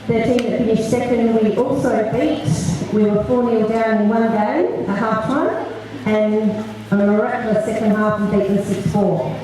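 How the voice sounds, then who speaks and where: A soft-spoken woman coach giving a prepared speech at a school presentation, with frequent ums. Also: fairly high pitch. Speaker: youth soccer coach. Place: school hall with an audience. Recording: echoey hall, far from the mic, crowd noise.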